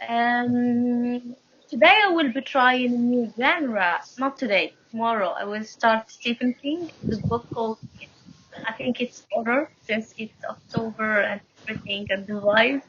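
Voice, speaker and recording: A woman's voice: a little high, measured pace, a bit bright, slight background noise. A young woman from Morocco with a Moroccan accent, a native Arabic speaker, chatting as a guest on a video call and laughing often between thoughts. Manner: laughs often, speaks with many fillers and restarts. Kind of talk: casual video call chat. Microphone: phone camera on video call. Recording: muffled lo-fi video call audio.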